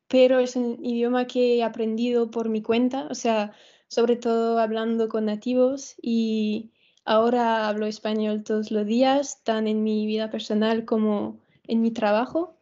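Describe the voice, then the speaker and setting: Soft-spoken young Frenchwoman on a video call. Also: a little high, level tone. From France. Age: 20s. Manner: soft-spoken, halting, with fillers. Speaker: guest, dancer. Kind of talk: conversational interview. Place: small bedroom with photos on the wall. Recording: dull video call audio.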